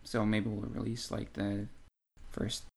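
A man's voice: lowish voice, monotone, unhurried, creaky, a little hiss or hum. A man co-hosting a podcast, chatting casually about books in a rambling, geeky, informal way. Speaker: podcast co-host. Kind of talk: casual book discussion chat.

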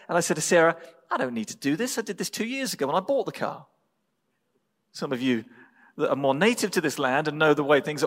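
A man with an English accent preaching a sermon as a pastor, speaking in measured, deliberate phrases with pauses. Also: a little high, a bit bright. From England. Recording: reverberant hall.

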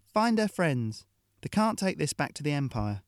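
A man voicing a big, brutal female mercenary who sneers and taunts, in a high put-on voice. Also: big pitch swings, normal speed, no echo, clean studio sound. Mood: sneering.

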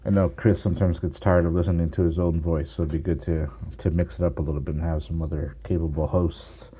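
A man's voice: low voice, little pitch movement, fast, dark tone, a little hiss or hum. A man who produces a podcast, talking casually with many restarts and fillers.

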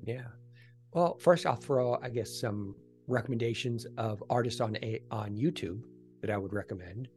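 A man's voice: medium pitch, moderate pace, darkish tone, soft background music. A man speaking as a podcast guest, giving conversational answers and recommendations. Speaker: podcast guest, ASMR creator and author.

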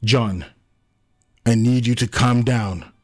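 A man's voice: mid-range voice, takes it slowly, a little mellow, faint music behind. A man voicing a solemn, careful male friend bearing bad news in a dramatic audiobook. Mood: soothing. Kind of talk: dramatic audiobook character acting.

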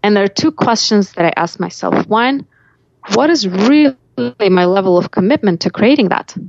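A woman's voice: medium pitch, expressive, normal speed, a little mellow, dry recording, muffled recording. A woman with a Russian accent telling reflective personal stories as an interview guest, often voicing her own thoughts aloud. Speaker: life coach, podcast guest.

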